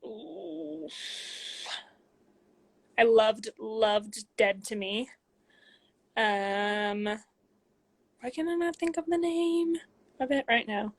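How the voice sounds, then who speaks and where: A woman in her twenties, speaking from a room at home into a phone, chats casually with many ums as she reads out viewer questions and answers them, sometimes dropping to soft asides. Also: fairly high pitch, lively intonation, drawn-out words, creaky, long pauses. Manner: reads comments aloud, chatty, frequent ums, drops into soft asides. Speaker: athlete hosting a live Q&A.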